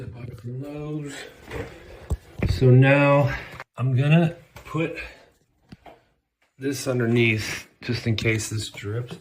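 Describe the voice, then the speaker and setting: A man's voice: fairly low pitch, creaky. A man doing a casual how-to vlog as a flooring installer, rambling and correcting himself, sniffly from a cold, filming on a handheld camera. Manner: rambling, self-correcting, chatty asides. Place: indoor bathroom on a job site. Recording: echoey room with noise behind.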